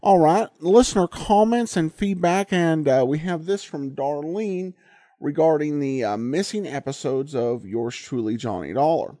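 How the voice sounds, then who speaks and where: A man's voice: fairly high pitch, lively intonation, measured pace, mellow, dry recording, clean studio sound. A man hosting an old-time radio podcast, chatting casually and reading out listener mail, with frequent ums and uhs. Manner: conversational, many filler words and restarts. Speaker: podcast host.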